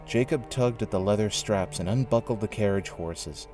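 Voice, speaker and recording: A low-pitched male narrator tells a scripted story for an audio drama. His delivery is measured, and music plays beneath it. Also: a little mellow.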